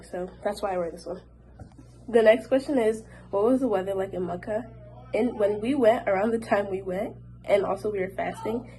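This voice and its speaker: A woman's voice: medium pitch, warm tone, a little hiss or hum, low-quality recording. A young woman vlogger chatting casually to camera in a Q&A, her voice a little off from a cold, with many fillers like "like". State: recovering from a cold, voice slightly off.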